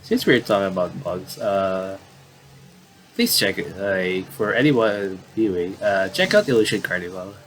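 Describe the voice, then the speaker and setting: Male let's player, lowish voice, animated intonation, casual and rambling, reads on-screen text aloud, faint background noise. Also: a little slow. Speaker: gamer reading a visual novel aloud with commentary. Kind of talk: casual let's play commentary mixed with reading game text aloud.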